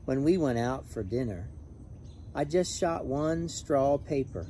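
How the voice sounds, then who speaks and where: A man reading a children's picture book aloud in an expressive, animated, emphatic way. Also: mid-range voice, measured pace, a little hiss or hum. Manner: animated, emphatic on repeated refrain. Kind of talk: expressive storybook read-aloud with brief asides.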